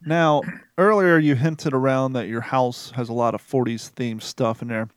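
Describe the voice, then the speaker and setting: A man's voice: mid-range voice, fairly even intonation, moderate pace, clear, resonant tone, dry recording, clean studio sound. A man in his forties from Kentucky with an American accent, hosting a podcast in a folksy, colloquial storytelling way. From Kentucky, United States. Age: 40s. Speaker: podcast host. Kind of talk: casual chat, storytelling.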